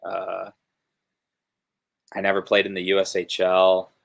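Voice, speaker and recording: Young hockey guy w northern us accent, low flat voice, lots of uh and you know. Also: unhurried. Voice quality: gravelly low voice. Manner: relaxed, rambling with many fillers. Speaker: hockey player guest. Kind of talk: casual interview chat. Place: home room, video call.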